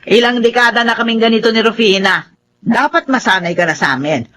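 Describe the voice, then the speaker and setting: A woman's voice: a little high, a little fast, fairly bright tone, close to an everyday voice. An actress playing a resentful older woman telling of her feud in a radio drama. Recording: music behind voice.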